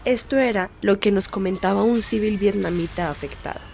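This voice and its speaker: A woman's voice: medium pitch, brisk, creaky, a little mellow, a little hiss or hum, close to an everyday voice. A woman playing a serious radio news anchor, announcing a scripted wartime bulletin in a formal manner.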